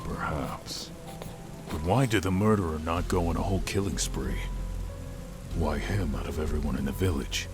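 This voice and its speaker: A man playing a stern dragonborn paladin and leader in a fantasy audio drama, commanding, deliberate and easily exasperated. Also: very deep voice, music in the background. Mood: stern, pondering.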